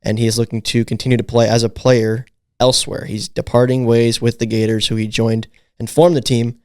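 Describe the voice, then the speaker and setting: A man's voice: mid-range voice, flat delivery, a little fast, a little mellow, smooth, no echo, clean studio sound. A man hosting a casual sports podcast, leading the talk and asking questions. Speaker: podcast host.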